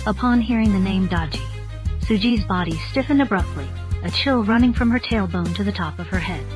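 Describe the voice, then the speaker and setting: A woman's voice: mid-range voice, animated, fairly quick. A woman narrating a scripted story in the style of an audiobook. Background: faint music.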